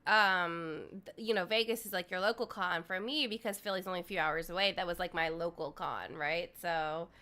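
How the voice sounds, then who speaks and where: A woman's voice: lowish voice, steady tone, normal speed, hardly any pauses, bright, forceful tone. A woman hosting a casual livestream on a desk microphone, chatty and full of fillers as she talks to her chat. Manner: chatty, filler-heavy, addresses chat viewers. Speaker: livestream host.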